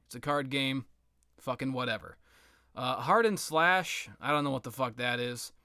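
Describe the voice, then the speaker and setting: A man with a bright, forward voice at an ordinary middle pitch, recorded close and dry. He rambles casually through game commentary, swearing freely and putting himself down in a sardonic, exaggerated way. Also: normal speed, dry recording. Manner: self-deprecating, sweary, sardonic. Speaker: gaming commentator.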